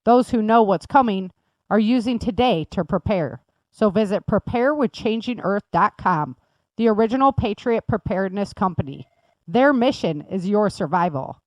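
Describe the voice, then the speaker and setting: A woman's voice: mid-range voice, lively intonation, normal speed, dry recording, low-quality recording. A woman, the creator of the series, reading scripted promos in an earnest, urgent manner.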